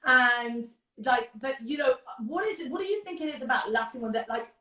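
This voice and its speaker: A woman's voice: sits high, animated, sharp tone, echoey room, recorded from a distance. An English woman, a makeup artist, chatting effusively over a video call. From England. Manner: gushing, effusive, quick to agree. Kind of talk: casual chat.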